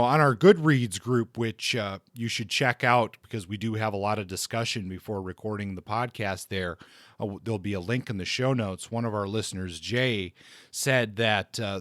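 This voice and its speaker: A man hosting a casual book discussion podcast, speaking deliberately and repeating phrases for emphasis. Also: lowish voice, dry recording, studio quality. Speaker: podcast host.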